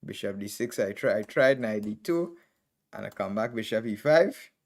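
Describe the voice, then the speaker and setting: A man's voice: fairly low pitch, expressive, normal speed, darkish tone. A man from Trinidad and Tobago with a Trinidadian accent, streaming live and thinking aloud as he reviews chess games move by move. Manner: thinking aloud, calling out moves in short bursts.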